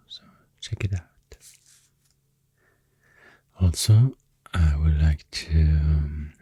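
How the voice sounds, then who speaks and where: A man with a Swedish accent rambles in soft-spoken, near-whispered ASMR, gentle and unhurried, close to the microphone. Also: no room sound. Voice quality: breathy, near-whispered deep voice. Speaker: ASMR video host.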